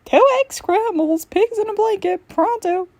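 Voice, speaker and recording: Woman as comic diner waitress, brassy, high-pitched, loud, folksy, unflappable. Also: measured pace, no echo. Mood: brisk shouting. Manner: loud, folksy call-outs.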